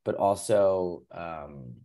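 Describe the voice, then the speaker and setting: A man's voice: low-pitched, very slow, warm tone. A man, the film's producer, answering on a panel in earnest speech that trails off into fillers. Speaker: producer, co-director.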